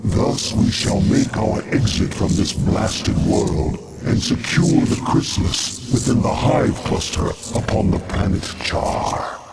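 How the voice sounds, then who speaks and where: A man voicing an ancient, godlike alien hive mind for a video game, grandiose and commanding, with solemn archaic phrasing and a gravelly voice. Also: lowish voice, lively intonation, heavy background noise, heavily acted. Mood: pleased, grandiose. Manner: solemn, slow, archaic pronouncements. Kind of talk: video game villain monologue.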